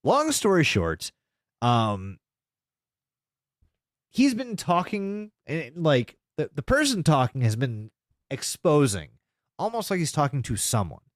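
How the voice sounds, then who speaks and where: A man's voice: a little high, wildly swooping pitch, takes it slowly, pauses often, dry recording, clean studio sound. A man co-hosting a podcast, casually retelling a story in a rambling, sweary way. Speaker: podcast co-host.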